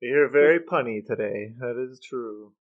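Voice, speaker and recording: A man's voice: mid-range voice, normal speed, narrow, tinny audio. A middle-aged man co-hosting a podcast, chatting casually and asking questions. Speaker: podcast co-host. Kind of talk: casual chat and interview questions.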